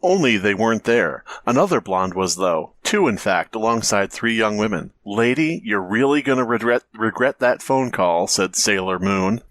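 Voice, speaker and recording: A man's voice: lowish voice, animated, moderate pace, few pauses, fairly bright tone, dry recording. A man co-hosting a podcast, reading amateur stories aloud and breaking off for sarcastic, casual jokes. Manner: sarcastic, wisecracking. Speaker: podcast co-host. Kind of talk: casual comedic banter, reading fan fiction aloud.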